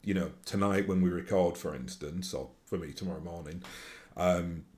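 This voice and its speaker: A man's voice: sits low, normal speed, darkish tone. A man with a broad Yorkshire accent, talking casually to his viewers in a rambling way with many fillers and restarts. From Yorkshire, England. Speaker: YouTube host. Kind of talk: casual solo monologue.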